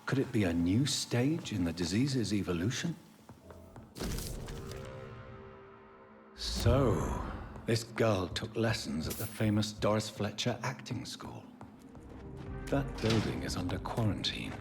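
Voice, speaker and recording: A man playing a grave, compassionate doctor in a video game, speaking in a measured, formal, analytical way. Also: fairly low pitch, animated. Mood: intrigued. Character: grave, compassionate male doctor with a dead heart. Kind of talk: dramatic video game acting. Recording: orchestral cello music behind.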